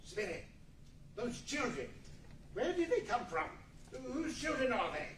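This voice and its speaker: A man playing an anxious, halting, pleading old miser in a 1940s-style radio play. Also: very high-pitched, very animated, fairly bright tone, full character voice. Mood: troubled, pleading. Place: large meeting hall before an audience. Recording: distant echoey room with faint noise.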